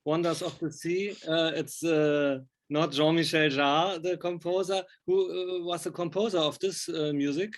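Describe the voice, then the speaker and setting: Higher-voiced man interviewing, non-native English. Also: fairly even intonation, a little slow, few pauses. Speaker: interviewer, film and music journalist. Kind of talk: informal interview.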